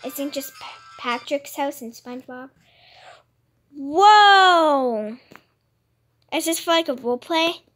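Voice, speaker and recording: A woman's voice: high-pitched, lively intonation, slow pace, long pauses, a bit bright, faint music behind. A woman hosting a casual gaming video, chatting as she plays. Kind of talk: casual gameplay chat. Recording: noisy home recording with clicks.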